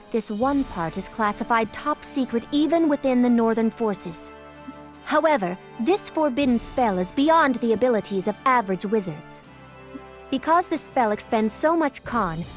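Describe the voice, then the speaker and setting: A woman's voice: a little high, lightly acted. A woman playing a stern, dutiful bodyguard and soldier in a video game, speaking formally and exactingly. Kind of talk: video game cutscene voice acting.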